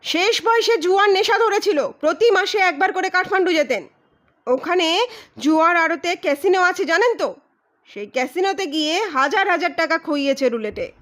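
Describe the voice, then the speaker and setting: Woman acting high-pitched, blustering, menacing male detective. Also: animated, moderate pace. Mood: heated, insistent. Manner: loud, emphatic, declamatory. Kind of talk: dramatized audiobook reading.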